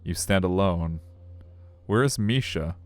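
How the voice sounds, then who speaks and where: A man's voice: low-pitched, slow. A man streaming a visual novel, narrating it aloud and dropping in casual asides. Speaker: streamer reading a game aloud. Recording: music behind the voice. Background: music.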